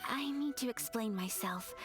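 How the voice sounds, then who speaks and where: A woman's voice: on the high side, moderate pace, close to an everyday voice. A woman playing a refined, polite and earnest young noblewoman in a video game. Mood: earnest, shy. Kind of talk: English video game voice acting. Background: game music.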